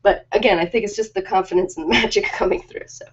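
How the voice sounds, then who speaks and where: A woman's voice: medium pitch, quick pace, husky. Casual rambling woman, slightly dull lo-fi audio. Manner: rambling, informal, self-deprecating. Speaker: live-stream host of ASMR and ritual videos. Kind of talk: casual ad-libbed live-stream chat.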